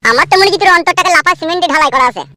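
A man's voice: extremely high pitch, very fast, background noise. A man voicing a grumpy, crude, sarcastic village husband in a comedy cartoon. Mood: irritated, sarcastic.

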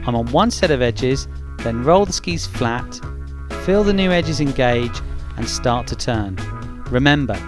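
A man narrates ski technique in a calm, measured voice-over. His voice sits at an ordinary middle pitch, with guitar music playing clearly under him.

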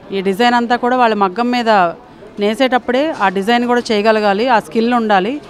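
A middle-aged woman hosting an expo, introducing stalls and explaining products on a handheld mic in a large exhibition hall. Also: on the high side. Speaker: host. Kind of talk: on-the-spot expo interviews. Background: crowd chatter.

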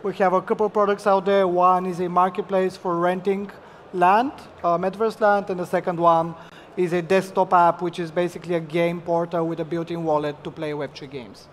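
A man's voice: sits high, flat delivery, normal speed, a little hiss or hum. Young businesslike man on a hall stage. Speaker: panelist. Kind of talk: conference panel discussion. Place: large conference hall stage. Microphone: headset mic.

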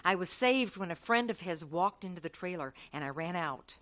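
A woman's voice: fairly low pitch, animated, moderate pace, a bit bright. An actress playing a young woman carnival worker in a radio drama, telling her hard life in an earnest, dramatic way. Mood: reflective, earnest. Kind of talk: radio drama narration and dialogue.

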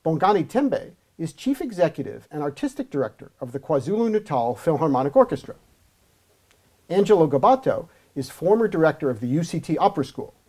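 Higher-voiced middle-aged male host. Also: big pitch swings, a little fast, darkish tone. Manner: friendly, inviting questions. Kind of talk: TV interview hosting. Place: TV studio.

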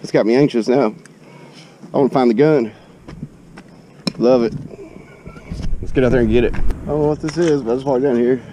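A man's voice: mid-range voice, moderate pace, pauses often, mellow, a little hiss or hum, rough recording. A man with a Southern US accent giving casual, folksy commentary in short offhand remarks as he hosts an outdoor vlog, recorded on a body-worn action camera. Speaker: video host, hobby magnet fisher. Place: outdoors on a bridge over a river.

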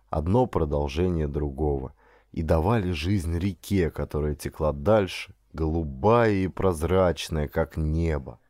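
A man reading an adventure novel as a dramatic audiobook narrator, in an expressive, deliberate storytelling manner. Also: rumbling low, no room sound, studio quality.

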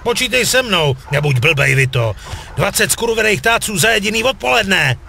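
A brash, greedy video game gangster. His voice is high, animated and gravelly, impatient and foul-mouthed. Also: a little hiss or hum, full character voice. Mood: eager, urging. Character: eager, brash male small-time gangster, greedy for quick money. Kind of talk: acted video game dialogue.

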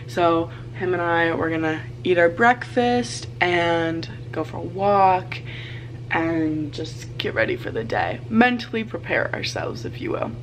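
A woman's voice: lowish voice, animated, measured pace, a little hiss or hum, rough recording. A college student in her twenties, vlogging casually and upbeat to a handheld camera, chatting to her dog as she goes. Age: 20s. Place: dorm room, indoors. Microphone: camera held at arm's length.

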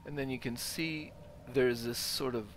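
Male let's player, casual and explanatory, mid-pitched, game ambience behind him. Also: fairly even intonation, a little slow, background noise. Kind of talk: casual game walkthrough commentary. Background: video game ambience.

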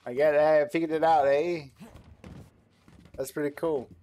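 A man's voice: fairly high pitch, steady tone, moderate pace, dark tone. A man streaming a video game with casual, chatty commentary, repeating himself and talking back to the game. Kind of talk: casual let's play commentary. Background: video game audio.

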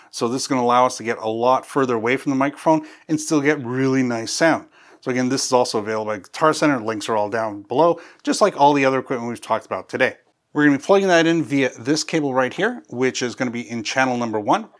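A man in his fifties hosting an instructional walkthrough, explaining each step in a casual way. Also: mid-range voice. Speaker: host of audio gear tutorial. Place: small indoor studio. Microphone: lavalier.

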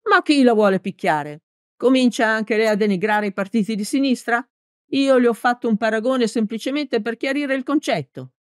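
A woman's voice: medium pitch, expressive, moderate pace, dry recording, clean studio sound, voice pushed up, close to an everyday voice. A woman voicing a big, hot-tempered male village mayor in a storybook, blustering and clumsily formal with emphatic delivery. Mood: impatient.

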